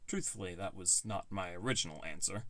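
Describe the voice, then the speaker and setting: A man's voice: sits low, a little fast, dry recording. A man reading scripted first-person story narration as an audiobook narrator.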